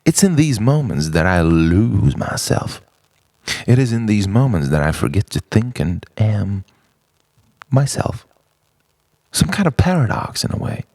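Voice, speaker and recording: A man performs introspective poetry in a close, dry recording. His delivery is dramatic and deliberate, with a widely moving pitch. He phrases his lines as a spoken-word monologue, leaving space between them. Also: gravelly, a little mellow, dry recording. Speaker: poet.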